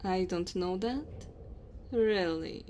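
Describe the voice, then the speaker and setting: A woman as a slow-talking, haughty, teasing dragon woman. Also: mid-range voice, dark tone, a little hiss or hum, close to an everyday voice. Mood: stern, condescending. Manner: haughty, teasing, addresses listener directly. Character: dominant, teasing immortal dragon woman claiming a human mate. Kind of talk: ASMR roleplay. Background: cave ambience and fire sound effects.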